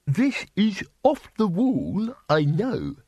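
A man playing a dotty old wizard, high chirpy voice, slow, dry. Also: wildly swooping pitch, no echo, exaggerated voice. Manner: bright, dithering. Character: dotty, scatterbrained older male wizard.

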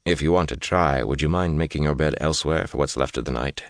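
A hard young lord from a fantasy audiobook, acted out by a man, with a very deep voice in a dry, close recording. He is cold and commanding, handing out terse orders and threats. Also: quick pace, dry recording.

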